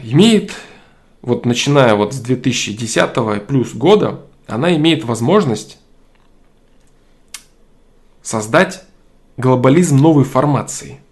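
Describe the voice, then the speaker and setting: A man giving an opinionated political monologue, stressing points by repeating them and pausing often on hesitation sounds. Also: medium pitch, lively intonation. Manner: emphatic, repeats key phrases, frequent hesitation fillers.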